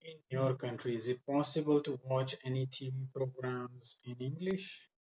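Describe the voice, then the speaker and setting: A man's voice: medium pitch, normal speed, warm tone, rough recording. A man working as an English teacher, bluntly instructing and asking practice exam questions. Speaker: English speaking-test teacher. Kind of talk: mock speaking exam, questioning and coaching.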